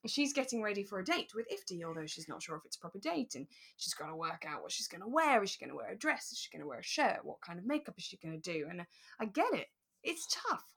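A woman's voice: mid-range voice, big pitch swings, fast talker, fairly bright tone, breathy edge. A woman chatting casually as a podcast co-host, often laughing as she talks. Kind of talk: casual chat about a radio drama.

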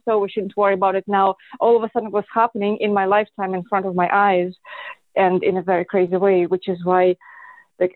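A woman's voice: medium pitch, no room sound. Woman on a tinny phone-like line. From Russia. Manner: effusive, warm and earnest. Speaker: guest. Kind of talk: podcast interview. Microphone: remote call.

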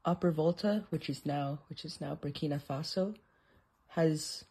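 A speaker with a slight lisp giving a casual, unscripted show-and-tell in a halting, self-correcting way. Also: medium pitch, a little mellow, husky. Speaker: presenter showing an old atlas.

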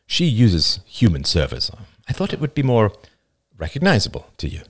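A man's voice: lowish voice, huge pitch swings, normal speed, pitched above the performer's own voice. A man voicing a genial, eager companion who talks with breezy enthusiasm.

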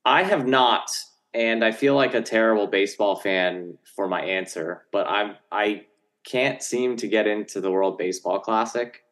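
Lower-pitched, easygoing American man co-hosting. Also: normal speed, a bit bright. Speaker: podcast co-host. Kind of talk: casual sports chat.

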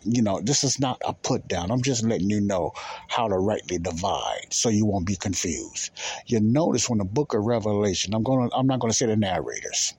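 A man's voice: on the low side, a little mellow, husky, no echo. A man giving informal, emphatic Bible teaching as a podcast host, repeating key phrases and posing rhetorical questions.

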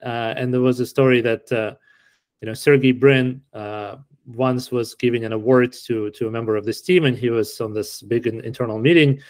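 A middle-aged man from Ukraine answering interview questions in a relaxed, explanatory way with frequent fillers. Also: medium pitch, moderate pace, no echo. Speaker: podcast guest.

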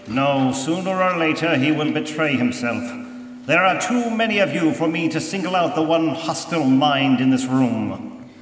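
Ominous radio-play vigilante, male actor, formal and measured, fairly high voice, distant hall sound. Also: expressive, natural voice. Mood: grave, commanding. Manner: solemn, measured, formal pronouncements. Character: The Shadow, unseen avenging crime fighter, commanding and ominous. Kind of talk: live radio drama acting. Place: church used for live stage performance. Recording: distant, echoey, noisy live hall recording.

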